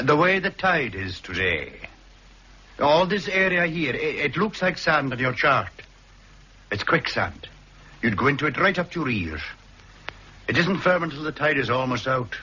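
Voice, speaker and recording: A man with a Scottish Highland accent playing a plain-spoken island boatman in a 1960s radio drama, giving practical warnings in a measured, matter-of-fact way. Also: pushed and bright. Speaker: local boatman and guide. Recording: dull archive radio recording with faint hiss.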